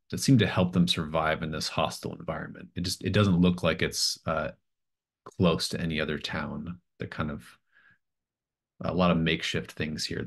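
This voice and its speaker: A man's voice: extremely low pitch, vocal fry, slightly rough. A middle-aged man running a tabletop game as game master, narrating scenes off the cuff and searching for words. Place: home room on a video call.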